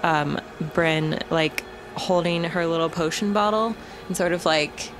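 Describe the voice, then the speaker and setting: A woman's voice: lowish voice, measured pace, poor audio. A woman chatting casually as a player in a tabletop role-playing game. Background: music.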